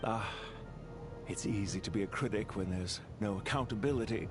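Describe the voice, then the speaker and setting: An actor playing a haughty, sardonic financier and official in a video game, debating with condescension. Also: male voice, on the low side, normal speed, lightly acted. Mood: scornful. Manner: condescending, smooth-tongued debater. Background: prominent background music.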